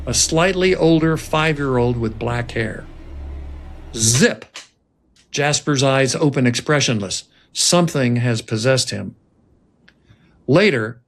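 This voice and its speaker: Narrator at a table read, middle pitch, reading out screenplay action and scene directions. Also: slight background noise.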